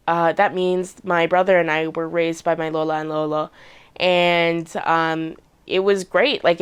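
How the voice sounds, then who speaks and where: Smooth-voiced young man telling a family story. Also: high voice. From Canada. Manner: relaxed, with many fillers. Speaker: guest. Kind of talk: casual conversation.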